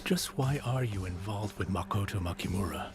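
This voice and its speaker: An actor playing a composed male villain in a game cutscene, speaking in a smooth, measured, courteous way. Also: sits low, darkish tone. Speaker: game character. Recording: game audio under music.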